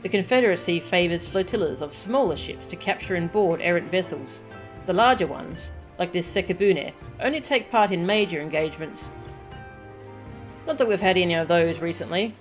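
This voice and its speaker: A woman's voice: lowish voice, expressive, normal speed, faint music behind, natural voice. A woman reading the lines of a male pirate sailor who reminisces about an old ship. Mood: reminiscing.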